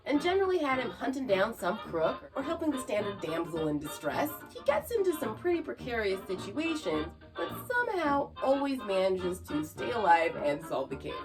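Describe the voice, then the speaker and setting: A woman's voice: on the high side, sing-song, moderate pace, few pauses, poor audio. A woman hosting a podcast, reading scripted narration with chatty asides. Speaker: podcast host. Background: music.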